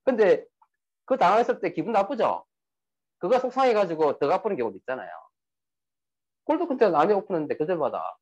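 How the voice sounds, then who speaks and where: A man's voice: high voice, big pitch swings, brisk, pauses often, darkish tone, slightly rough. A man giving a lecture, asking the audience rhetorical questions and acting out quoted dialogue. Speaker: speaker giving a talk on sales and emotions. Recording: dull lo-fi recording.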